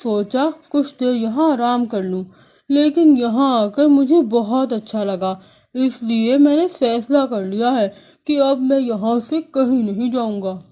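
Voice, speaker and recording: A woman voicing a greedy, bullying male crow who talks in a loud, drawn-out, gloating way. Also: fairly high pitch, muffled recording, lightly acted. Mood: bossy.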